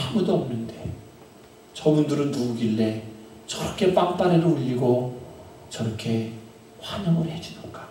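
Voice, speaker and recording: A middle-aged man with a high voice preaches a storytelling sermon into a handheld microphone. His phrases drift off softly at the ends, broken up by long pauses. The small chapel echoes heavily around him, and he sounds set back from the mic. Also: slight background noise. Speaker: pastor. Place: small chapel in front of an altar. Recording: very echoey room, from a distance.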